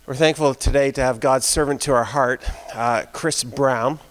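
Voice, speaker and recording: A man's voice: medium pitch, moderate pace, fairly bright tone. A man, the church pastor, giving a friendly, informal welcome and introducing a guest. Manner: friendly, informal, hesitant fillers. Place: church sanctuary. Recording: long hall reverb with faint music.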